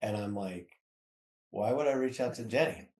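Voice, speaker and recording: A man's voice: lowish voice, moderate pace, darkish tone. An American man in his fifties recounting things casually as a guest in a conversational interview. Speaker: podcast guest. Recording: roomy, echoey sound.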